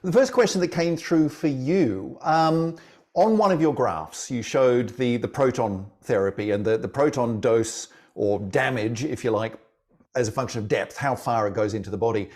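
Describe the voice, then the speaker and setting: A man hosts a science webinar in an upbeat, friendly way. His voice sits at a middle pitch for a man. He thanks the speaker and then moves into the questions. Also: normal speed, darkish tone. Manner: upbeat, reads viewer questions. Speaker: webinar host. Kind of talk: moderating a question session.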